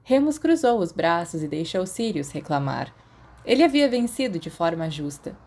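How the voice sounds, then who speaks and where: A woman's voice: lowish voice, big pitch swings, normal speed, darkish tone. A woman with a Brazilian accent reading a scripted story as an audiobook narrator. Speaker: audiobook narrator of fan fiction.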